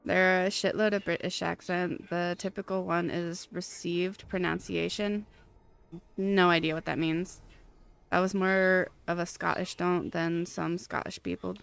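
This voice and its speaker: A woman live-streaming a game and chatting casually with viewers in a rambling, halting, giggly way. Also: on the low side, little pitch movement, creaky, smooth.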